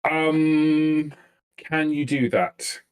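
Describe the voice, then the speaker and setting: A man acting as game master, narrating a tabletop game in a halting, improvised way and repeating phrases as he thinks. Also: a little high, fairly even intonation, clean tone.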